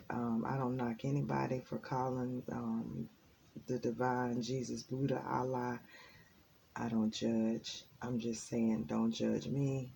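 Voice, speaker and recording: A woman giving a casual spiritual tarot reading in a raspy, just-woken voice, rambling and earnest with many ums. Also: extremely low pitch, mellow, a little hiss or hum. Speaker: tarot reader.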